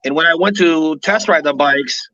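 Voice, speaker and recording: A talkative man in his thirties asks questions and rambles in a chatty way. His voice is slightly high and bright. The audio is a bit dull and lo-fi. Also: moderate pace, dry recording. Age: 30s. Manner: curious, talkative, loose phrasing. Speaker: co-host. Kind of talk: casual chat.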